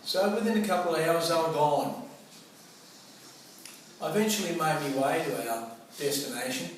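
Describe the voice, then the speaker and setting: A man's voice: on the high side, moderate pace. A middle-aged Australian man telling a personal anecdote in a colloquial, theatrical way. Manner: colloquial, theatrical, acts out remembered dialogue. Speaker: storyteller. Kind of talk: animated personal anecdote storytelling. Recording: roomy, echoing, noisy distant microphone.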